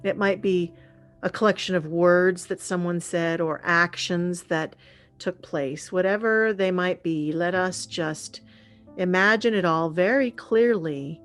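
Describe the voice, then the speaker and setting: A woman leading a guided meditation in a calm, soothing, unhurried way with frequent pauses. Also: fairly low pitch. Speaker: meditation leader. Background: gentle instrumental music.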